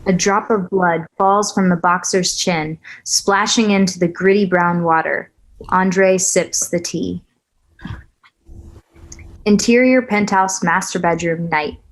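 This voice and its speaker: A woman reading stage directions aloud at a script table read, even and matter-of-fact. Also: fairly low pitch, slow pace, low-quality recording.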